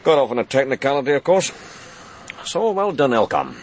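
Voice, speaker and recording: A man acting a senior police officer in a radio drama, measured and brisk as he briefs subordinates. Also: medium pitch, sing-song, slight background noise, lightly acted. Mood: approving, brisk. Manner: crisp, reading out facts, approving.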